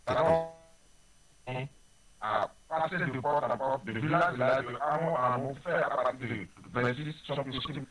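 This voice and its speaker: A man's voice: medium pitch, moderate pace, a bit bright, rough recording. A man from Benin with a Beninese French accent, speaking as a politician in an interview, earnest and rhetorical, repeating phrases for weight. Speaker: ruling-party politician interviewed by phone. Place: telephone call.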